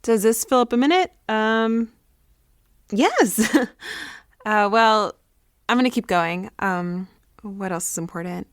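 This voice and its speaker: A woman's voice: medium pitch, slow, long pauses, no room sound, close to an everyday voice. Woman playing a dramatic, self-important teenage girl, close and dry. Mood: chatty, self-important. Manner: dramatic, rambling, boastful, teenage slang. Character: excitable, self-important, melodramatic teenage girl keeping an audio diary. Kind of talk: confessional audio diary monologue, naturalistic acting.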